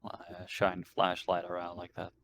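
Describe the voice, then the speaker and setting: A man's voice: sits low, completely flat delivery, normal speed, vocal fry, fairly bright tone, a little hiss or hum. A man playing a tabletop roleplaying game, chatting casually in and around his character.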